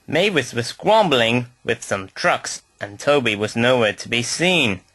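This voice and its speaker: A man's voice: medium pitch, animated, unhurried, a bit bright, dry recording. A man reading a scripted children's story aloud as narrator.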